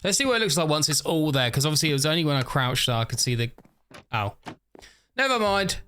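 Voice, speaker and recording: Stuffy-nosed gamer rambling along. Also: male voice, on the high side, sing-song, fast talker, sharp tone, a little hiss or hum. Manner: rambling, chatty, laughs at himself. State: stuffy, slightly nasal; overtired. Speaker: gaming YouTuber. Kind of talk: casual let's-play commentary.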